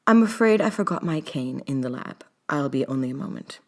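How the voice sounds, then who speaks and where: A woman's voice: a bass voice, expressive, brisk, darkish tone. A woman voicing a proud, acerbic male academic in a story, speaking in a formal, clipped, stiffly polite manner. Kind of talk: dramatic fiction reading.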